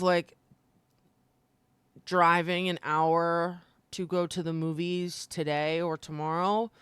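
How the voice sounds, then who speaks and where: A woman's voice: deep voice, clean tone. A woman chatting casually on a livestream, informal, opinionated and slangy in an emphatic way. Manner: informal, slangy, frequent swearing. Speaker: live streamer.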